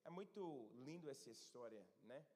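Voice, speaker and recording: A man preaching a sermon in a church and reading scripture aloud, often pausing to search for words and correct himself. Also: mid-range voice, expressive, mellow. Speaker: pastor. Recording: long church hall reverb.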